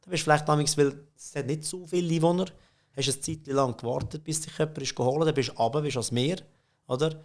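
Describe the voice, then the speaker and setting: A man's voice: fairly high pitch, steady tone, fast talker. A middle-aged man with a Swiss German accent, telling stories in a casual podcast chat, with frequent laughs and filler words. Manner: chatty, laughs often, many fillers and restarts. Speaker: podcast guest, company CEO. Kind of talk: casual conversational storytelling.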